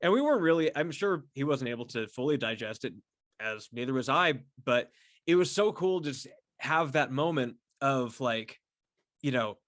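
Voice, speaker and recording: A man chatting casually and solo as a podcast host, effusive and halting, repeating words and swearing freely as he rambles. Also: mid-range voice, expressive, fairly bright tone, dry recording, clean studio sound. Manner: effusive, enthusiastic fan talk. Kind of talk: casual solo chat to camera about a favorite band.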